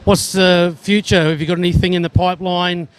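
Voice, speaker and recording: Chatty Australian interviewer at an expo. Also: male voice, high voice, level tone, brisk. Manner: friendly, chatty, Aussie slang like mate. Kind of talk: casual trade show interview. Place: indoor expo hall, busy. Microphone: handheld mic.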